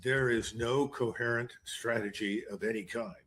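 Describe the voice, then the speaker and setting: American male pundit, husky mid-range voice, conversational. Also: mid-range voice, steady tone, measured pace, fairly bright tone. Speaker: interview guest, political commentator. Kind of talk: conversational political commentary.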